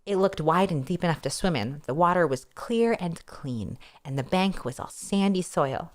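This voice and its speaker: A woman narrating a first-person story aloud in an expressive, playful style, with wry casual asides. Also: lowish voice, normal speed. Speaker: streamer reading a novel aloud.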